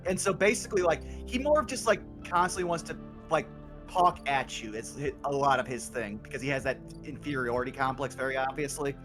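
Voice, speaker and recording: A man's voice: mid-range voice, brisk, music in the background. A man chatting casually as a co-player, rambling with lots of fillers. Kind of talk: casual chat.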